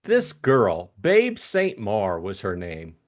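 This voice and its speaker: A man performs an upper-class young Englishman from a classic mystery audiobook, simple-hearted and eager to please. He gushes and rambles in breezy slang, with very lively swings in pitch. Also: slow pace, exaggerated voice. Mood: gushing. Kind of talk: audiobook character acting.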